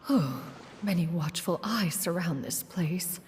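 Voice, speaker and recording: An actress voicing a dignified immortal woman musing in a hushed, secretive aside. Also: on the low side, expressive, slow pace, slight background noise. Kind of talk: game dialogue. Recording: game music behind.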